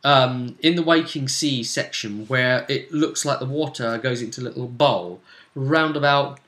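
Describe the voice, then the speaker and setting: A man's voice: mid-range voice, unhurried, a bit bright, echoey room. A man hosting as game master, recapping a role-play session with a storyteller's dramatic delivery. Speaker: game master and show host.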